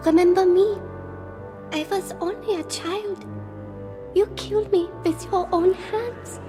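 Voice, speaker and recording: A woman's voice: very high voice, fairly even intonation, slow pace, music in the background. A woman playing the accusing, plaintive ghost of a murdered child in a television drama, with a haunting manner. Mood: plaintive accusation.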